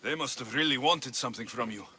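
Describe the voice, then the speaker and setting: A man's voice: medium pitch, fast, sharp tone, husky. A man acting a capable, sardonic Greek mercenary in a video game cutscene, with a dry delivery. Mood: businesslike. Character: wry, capable male Greek mercenary for hire.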